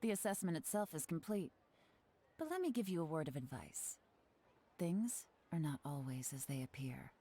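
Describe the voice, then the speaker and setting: A woman voicing a composed intelligence chief in a video game, speaking calmly and with quiet authority over faint game music. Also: sits low, moderate pace, husky, exaggerated voice. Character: cool-headed woman spymaster giving orders. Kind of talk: game voice acting.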